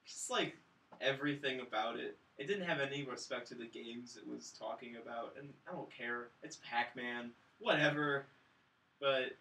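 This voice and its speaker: A man's voice: medium pitch, bright, forceful tone. A man chatting casually as a panelist on a film review podcast, blunt and dryly sardonic in his opinions. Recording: roomy, distant mic with faint noise.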